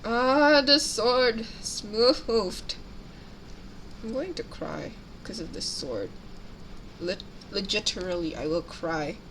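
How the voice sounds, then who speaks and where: A woman's voice: medium pitch, takes it slowly, a bit bright, a little hiss or hum, rough recording. Chatty young woman streamer. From Indonesia. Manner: chatty, switches between two languages, giggly asides. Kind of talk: casual livestream chat while painting miniatures.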